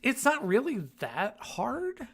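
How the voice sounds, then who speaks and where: A man's voice: extremely high pitch, huge pitch swings, slow pace, vocal fry, a bit bright. A man from the United States co-hosting a podcast in casual, conversational chat. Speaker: podcast co-host.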